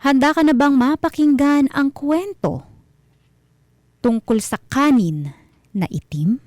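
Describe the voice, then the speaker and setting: A Filipino woman narrates eerie tales as a radio storyteller. Her voice is mellow and very dry in a close studio sound, and she takes her time with deliberate, dramatic phrasing. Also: high voice, animated, no room sound. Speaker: host and narrator of a radio mystery show. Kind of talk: scripted horror storytelling.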